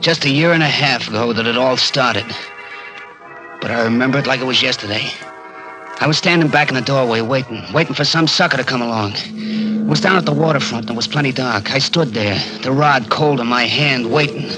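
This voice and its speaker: A man's voice: medium pitch, brisk, natural voice, poor audio. An actor playing a cornered, blustering holdup man with tough gangster slang, in the style of 1940s radio crime drama. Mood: bitter, brooding. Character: cornered small-time male holdup man, blustering and nervy. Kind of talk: 1940s radio acting, first-person crime narration. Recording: vintage 1940s radio recording, faint music.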